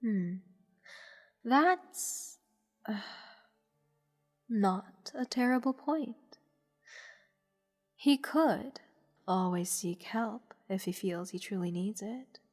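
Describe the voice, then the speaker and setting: Woman doing a playful condescending goddess for fantasy audio roleplay, soft husky voice, big long reverb, music behind. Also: mid-range voice. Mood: pondering. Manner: teasing, condescending, theatrical, measured. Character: haughty, playful, amused goddess holding a mortal captive. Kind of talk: fantasy audio roleplay, intimate scripted monologue. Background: orchestral music.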